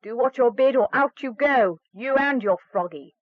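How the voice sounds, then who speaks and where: A woman's voice: a little high, moderate pace, dry recording, muffled recording, pitched above the performer's own voice, natural voice. A woman voicing an angry, scolding stepmother in a fairy tale, giving commanding orders. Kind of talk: fairy tale storybook reading.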